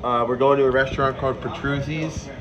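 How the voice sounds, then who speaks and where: A man's voice: mid-range voice, fairly even intonation, a little fast, muffled recording. A young man with a nasal, stuffed-up voice, rambling casually as he vlogs a small apartment tour on his phone. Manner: rambling, self-correcting, offhand jokes. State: nasal from a cold. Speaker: vlogger. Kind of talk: casual handheld vlog. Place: small city apartment indoors. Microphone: phone held in hand. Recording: noisy phone recording.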